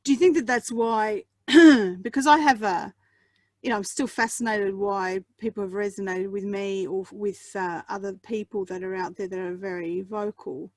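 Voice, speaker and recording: A woman's voice: mid-range voice, moderate pace, dry recording. A woman hosting a casual interview, her mid-range voice close on a dry mic. She drifts into long, reflective questions drawn from her own life. Between her questions she murmurs small agreements. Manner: gives frequent small agreements, asks long musing questions. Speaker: podcast host.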